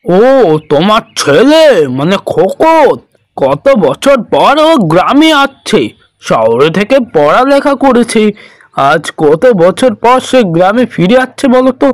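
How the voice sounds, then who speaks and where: A man's voice: high voice, sing-song, a little slow, no room sound. A man voicing a bossy middle-aged village landlord who hurries his servant along. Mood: brisk. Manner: bossy, hurrying others.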